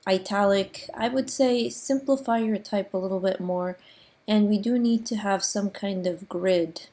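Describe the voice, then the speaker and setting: A woman teacher giving recorded design critiques to her class, with allergies and some sniffling, talking in a relaxed way with frequent ums. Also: medium pitch. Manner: relaxed, frequent ums and uhs, gently corrective.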